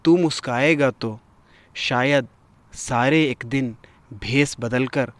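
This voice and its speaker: A man hosting a poetry gathering, reciting verse and commenting on it in a measured, expressive, literary way. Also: mid-range voice, dry recording.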